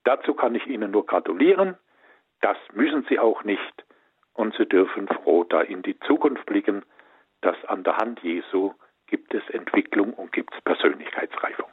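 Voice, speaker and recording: A man's voice: fairly low pitch, animated, normal speed, slightly rough, dry recording. Reassuring male counselor on a tinny line. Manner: warm, reassuring, gently emphatic. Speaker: pastoral counselor, studio guest expert. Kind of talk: advice on a call-in show. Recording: dry, tinny phone-like line.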